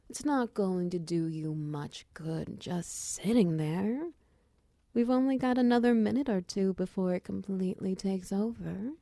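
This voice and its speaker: A hulking female minotaur wizard, played by a woman in an intimate audio roleplay. Her voice is mellow and gravelly with fry, recorded dry and close. She is commanding and teasing, slipping into breathy whispers. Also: medium pitch, no echo. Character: hulking muscular female minotaur wizard, bossy, teasing potion-shop owner. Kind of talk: roleplay ASMR audio drama.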